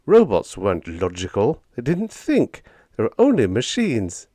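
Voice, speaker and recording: A man's voice: medium pitch, huge pitch swings, measured pace, warm tone, dry recording. A man reading a story aloud as an audiobook narrator, in a dramatic scripted style.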